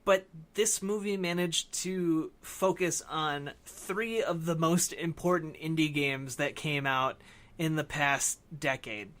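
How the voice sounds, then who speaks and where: A man's voice: on the high side, a bit bright. A man co-hosting a podcast, discussing films casually and often stopping to correct himself mid-thought. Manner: halting, self-correcting speech. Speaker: podcast co-host.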